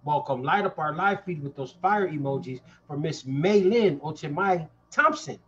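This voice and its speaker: A man's voice: on the high side, expressive, normal speed, a bit bright. A man in his thirties hosting a casual live show and interviewing a guest over a video call. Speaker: podcast host. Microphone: podcast mic with headset. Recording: slightly dull video-call audio.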